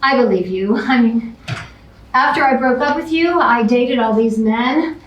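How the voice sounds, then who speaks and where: Frank, gushing ex-girlfriend played by a woman, higher voice, rambling confessions, recorded from a distance in an echoey room. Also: a little slow, dull audio, close to an everyday voice. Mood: frank, giddy. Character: blunt, gushing ex-girlfriend wanting her man back. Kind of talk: staged musical comedy reading. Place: indoor stage, far from mic. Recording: echoey room, distant mic, faint noise.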